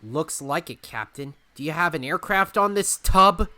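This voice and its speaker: An actor playing an earnest raccoon adventurer hero in an audio drama. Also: male voice, a little high, expressive, moderate pace, bright, forceful tone. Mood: determined. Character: young heroic raccoon adventurer, earnest and quick to react.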